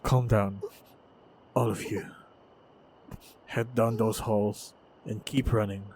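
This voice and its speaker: A man plays a protective fighter in a fantasy action roleplay, addressing the listener directly. His voice is on the low side and he takes his time, giving short phrases with pauses between them. The track is clearly noisy. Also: fairly even intonation, a little mellow. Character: steady protective male fighter guiding a princess. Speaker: voice actor in audio roleplay. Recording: noisy, effects-heavy track.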